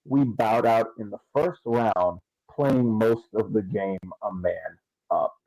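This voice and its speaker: A man on a sports podcast panel debating casually, building his arguments haltingly in stages. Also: on the low side, mellow, no echo, poor audio.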